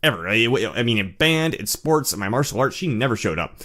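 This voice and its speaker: A man talks straight to the camera in a loose, unscripted monologue. His voice sits at an ordinary middle pitch, and he often stammers and circles back as he gathers his thoughts. He stays candid and reflective throughout. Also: sing-song, fairly bright tone. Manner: halting, with frequent stammered restarts. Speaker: vlogger sharing personal experience. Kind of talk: casual personal monologue.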